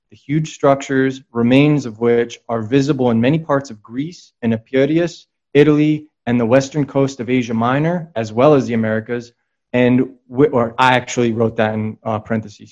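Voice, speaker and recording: A man's voice: medium pitch, darkish tone. Man, podcast guest, rambling, restarts, reads quotes aloud, slightly dull audio. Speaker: guest researcher and author. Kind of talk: casual podcast conversation.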